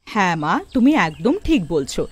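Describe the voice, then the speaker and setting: A woman's voice: on the low side, sing-song, normal speed, slight background noise, pitched below the performer's own voice. A woman playing a caring, motherly witch wife in a cartoon story. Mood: fond, approving.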